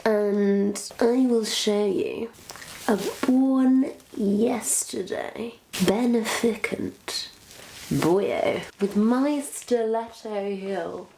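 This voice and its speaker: A woman's voice: mid-range voice, creaky, dark tone. A woman playing a sly, self-satisfied witch posing as a missionary, in a theatrical, condescending monologue that savors each word to a captive listener. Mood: smug, teasing. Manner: theatrical, condescending, savoring words with long pauses. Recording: roomy echo, faint background noise.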